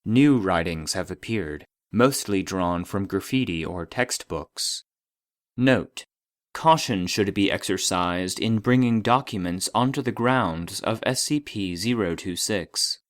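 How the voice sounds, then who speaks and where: A man voicing an impersonal database, reading formal classified reports in a measured, clinical manner. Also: low-pitched, lively intonation, no room sound, clean studio sound. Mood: clinical, detached. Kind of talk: scripted narration of a formal report.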